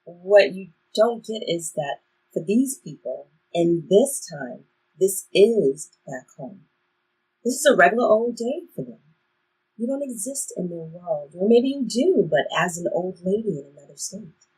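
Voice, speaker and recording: A woman's voice: medium pitch, big pitch swings, unhurried, darkish tone, husky, full character voice, poor audio. A woman playing a cautious, wry time-traveling guide who instructs, in a stage play reading. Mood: musing, teasing.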